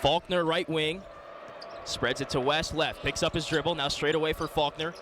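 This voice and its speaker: A man's voice: fairly high pitch, fairly even intonation, normal speed, a crowd in the background. A man calling a basketball game live on radio as play-by-play announcer, in clipped, descriptive phrases. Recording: loud arena noise behind the voice. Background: gym ambience, bouncing basketballs.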